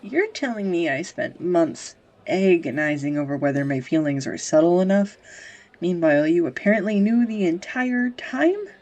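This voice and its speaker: A young woman acting a tender, easily flustered sweetheart in an intimate romantic audio roleplay, with a husky, breathy, hesitant voice. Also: on the low side, lively intonation, moderate pace, darkish tone, lo-fi sound, lightly acted. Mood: mortified, flustered. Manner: hesitant, confessional, breaking into whispers.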